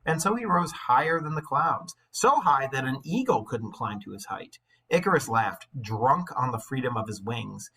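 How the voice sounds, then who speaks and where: A man with a bright, forceful voice and a husky edge, reading and explaining a story like a high school English teacher. His phrasing is dramatic and emphatic, at a medium pitch. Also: very animated, moderate pace. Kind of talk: expressive story read-aloud with teaching asides.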